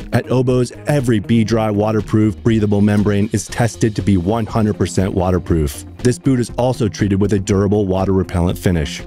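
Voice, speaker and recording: A man reading a steady, informational product description voice-over. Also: fairly low pitch.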